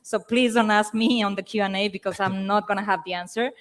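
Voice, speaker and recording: A woman's voice: medium pitch, fairly even intonation, brisk. A woman from Mexico with a Mexican accent, speaking as a panelist on a conference stage into a handheld mic, chatty and self-deprecating. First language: Spanish.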